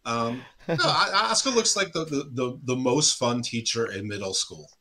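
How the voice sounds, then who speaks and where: A man's voice: medium pitch, expressive, normal speed, pushed and bright. A man on a casual podcast panel, giving wordy commentary with a dry, teasing manner.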